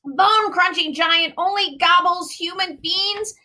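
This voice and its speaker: A woman voicing a huge, boastful man-eating male giant from a storybook, booming and emphatic with muddled grammar. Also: unhurried, pushed and bright, heavily acted.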